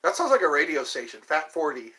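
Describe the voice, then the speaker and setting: A man's voice: a little high, very animated, a little fast, sharp tone. A man in his fifties hosting a livestream, chatting casually with viewers in a rambling, joking, self-deprecating way. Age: 50s. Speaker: livestream host. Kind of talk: casual chat answering viewers.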